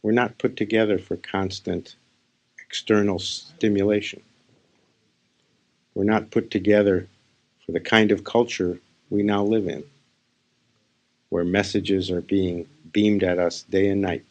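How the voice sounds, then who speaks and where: A man speaking as a spiritual teacher, leading a guided meditation in short, unhurried phrases with pauses. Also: deep voice. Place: live session.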